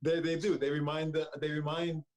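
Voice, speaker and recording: A male podcast host with a somewhat high voice. He talks in an easygoing, friendly way, full of fillers and quick laughs. The tone is casual and loose. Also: little pitch movement, a little mellow. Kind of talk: casual chat.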